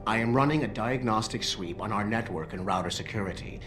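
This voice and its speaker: A man's voice: on the low side, fairly quick, a bit bright, slight background noise, natural voice. An actor playing a condescending, sarcastic male computer specialist in a video game, speaking with a mocking, snide drawl.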